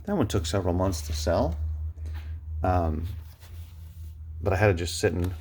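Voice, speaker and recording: A man talking casually as an online reseller showing his sales, in a rambling, low-key, matter-of-fact way. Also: lively intonation, normal speed, a little mellow. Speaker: online book and media reseller sharing recent sales. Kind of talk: casual vlog talk, showing items. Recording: noticeable background noise, clicks.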